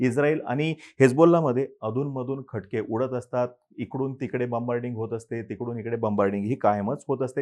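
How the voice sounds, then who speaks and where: A young man hosting a scripted news explainer, talking steadily with the odd filler pause. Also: medium pitch, a little mellow.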